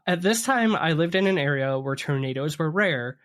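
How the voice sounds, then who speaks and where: A man's voice: a little high, expressive, normal speed, a bit bright. A man co-hosting a podcast, telling spooky stories in a conversational way and ad-libbing as he goes. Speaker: podcast co-host.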